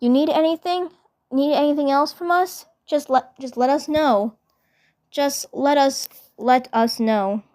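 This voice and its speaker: A woman voicing a kind, caring cartoon superhero girl with a gentle, reassuring manner. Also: high voice, a little slow, voice pushed up.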